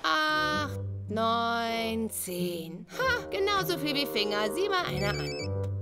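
A woman's voice: a little high, sing-song, takes it slowly, full character voice. A woman voicing a trendy, dramatic, fashion-minded girl in a German cartoon dub, with a sassy manner. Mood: bored. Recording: loud music underneath. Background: music.

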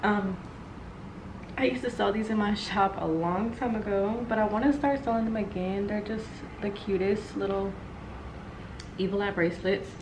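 A woman's voice: mid-range voice, moderate pace, background noise. A young woman in her twenties vlogging casually to camera in her apartment, chatty with lots of fillers. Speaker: lifestyle vlogger. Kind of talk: casual vlog talk to camera. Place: apartment, indoors.

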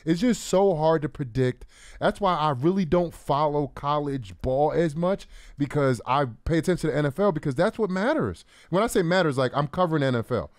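Male sports co-host, thirties, ex-player style analysis, fairly high pitch, repeats phrases conversationally, dry close-miked studio. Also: lively intonation, brisk, dry recording. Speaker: former pro football player, co-host. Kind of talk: casual sports talk, analysis. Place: small podcast studio. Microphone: close broadcast mic on boom arm.

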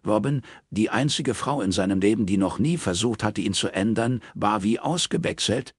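A man's voice: on the low side, animated, normal speed, dry recording, clean studio sound. A man reading a crime novel as scripted audiobook narration.

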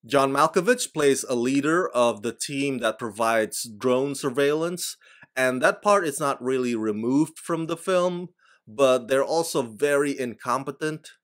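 A man's voice: mid-range voice, a bit bright. An Indonesian man in his forties, whose first language is Indonesian, delivers a scripted movie review straight to camera. His delivery is blunt and emphatic, with a mid-range voice, and he speaks close to a desk microphone in a small room. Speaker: film reviewer. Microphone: desk microphone in front of him.

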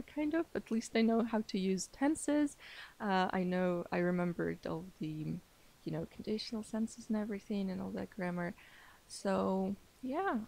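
A woman's voice: medium pitch, vocal fry, darkish tone. A young woman, a native Armenian speaker, chatting casually in English as a podcast guest and English teacher, with many fillers, restarts and frequent laughs. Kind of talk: casual interview chat.

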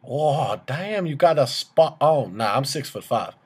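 A man's voice: medium pitch, lively intonation, unhurried, breathy edge. A brash man in his twenties chats on a prank call with a mid-range voice. His delivery is casual, cheeky and full of swaggering slang. He talks in a small room at a desk. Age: 20s. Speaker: prank caller and video host. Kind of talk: joking casual phone chat in a put-on swaggering slangy way.

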